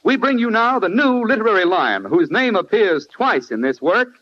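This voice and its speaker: A man's voice: high voice, sing-song, normal speed. An American man announcing a 1940s radio show in a formal, projected style. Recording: muffled old 1940s radio broadcast.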